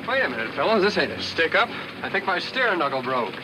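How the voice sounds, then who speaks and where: A man's voice: on the high side, sing-song, fast. An actor playing a scheming truck-driving gangster in a 1940s film serial, with slangy tough-guy talk. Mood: falsely casual. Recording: noisy vintage film soundtrack.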